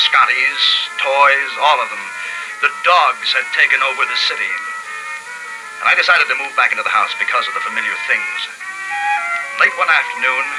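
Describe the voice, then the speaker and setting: An actor with an American accent playing a grave, haunted lone survivor in a 1950s radio drama, with a brooding, emphatic delivery. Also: male voice, medium pitch, close to an everyday voice, low-quality recording. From California. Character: solitary male survivor narrating a post-apocalyptic world, grave and haunted. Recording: old radio broadcast with music behind.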